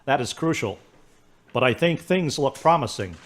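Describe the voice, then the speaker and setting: A man's voice: mid-range voice, animated, normal speed, close to an everyday voice. A man acting a hospital doctor who explains an injury in a clinical, reassuring way. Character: calm, matter-of-fact hospital doctor. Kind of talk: amateur radio drama acting.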